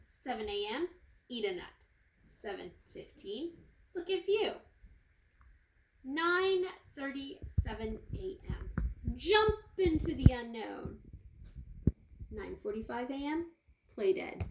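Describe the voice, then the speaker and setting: A woman with a fairly high voice reads a storybook to young children. Her phrasing is expressive and very slow, as a kindergarten teacher would read. The room is echoey, with faint noise in the recording. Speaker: kindergarten teacher reading aloud.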